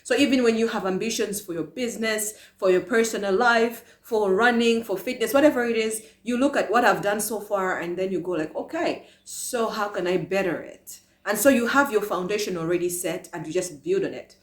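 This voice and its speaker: A woman's voice: mid-range voice, a little fast, hardly any pauses. A woman from Malawi giving a passionate motivational talk to camera, asking many rhetorical questions. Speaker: vlogger. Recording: echoey room.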